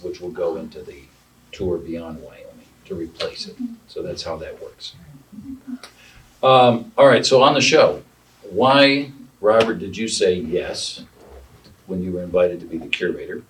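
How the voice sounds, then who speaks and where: A man's voice: low-pitched, lively intonation, unhurried. A man interviewing artists on a panel at an art center, chatty and explaining with asides. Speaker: interviewer, founder of the arts organization. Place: art center gallery room. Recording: distant mic with faint room noise.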